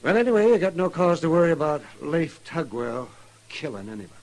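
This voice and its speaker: An actor playing a grave, candid small-town doctor in a 1950s radio western, with a husky voice. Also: male voice, fairly high pitch, very animated, a little fast, rough recording. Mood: matter-of-fact.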